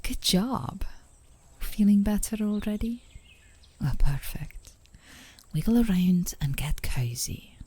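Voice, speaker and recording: A woman playing an affectionate, teasing girlfriend in an intimate ASMR roleplay, speaking softly and soothingly, often in a whisper, close to the microphone. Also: mid-range voice, expressive, takes it slowly, long pauses. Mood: soothing, encouraging. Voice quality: soft, breathy, often whispering. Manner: soothing, playfully coaxing, with small chuckles. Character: gently coaxing, teasing, affectionate girlfriend on a park date.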